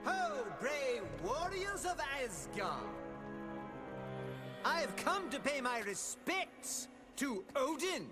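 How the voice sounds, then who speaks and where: A man's voice: extremely high pitch, huge pitch swings, slow, over music, heavily acted. A man playing a gloating trickster god, a pompous and mocking video game villain with grand archaic speech. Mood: scheming triumph.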